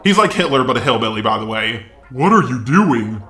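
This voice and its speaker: Sarcastic man with a bright, forceful voice. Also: mid-range voice, very animated, a little fast, echoey room. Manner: sarcastic, profane, exasperated. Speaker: YouTube commentator. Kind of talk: combative commentary reacting to online comments.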